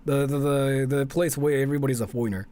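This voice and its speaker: A man's voice: medium pitch, fairly even intonation, fast talker, mellow. A man from Japan chatting casually on a livestream and reacting with sarcastic, repetitive jokes. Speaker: livestreamer reacting to a video and chat.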